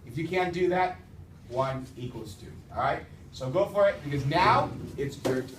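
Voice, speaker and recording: A man's voice: a little high, normal speed, distant mic, a little hiss or hum. Playful male teacher in echoey classroom. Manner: playful, energetic, questioning.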